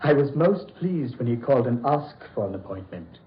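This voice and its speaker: An actor playing an obsessed, desperate mad doctor in a theatrical, raving 1940s radio horror style. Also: male voice, mid-range voice, sing-song, moderate pace, slight background noise, lightly acted. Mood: disbelieving. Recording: muffled old radio recording, echoey.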